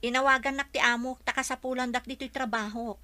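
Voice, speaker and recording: A dutiful working woman in an Ilocano radio drama. She sounds high and bright, with an Ilocano accent. Her delivery is earnest and worried as she explains herself. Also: steady tone, fast. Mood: earnest, hurried.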